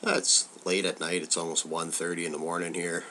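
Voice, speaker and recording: A man's voice: low voice, flat delivery, fairly quick, sharp tone, slight background noise. A man with a cold and a stuffy nose, giving a loose, rambling film review full of filler words.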